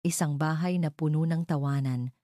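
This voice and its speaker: A woman voicing a gentle, earnest man in love, a devoted young father in a narrated romance drama. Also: sits low, moderate pace, no echo, studio quality, lightly acted. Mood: hopeful.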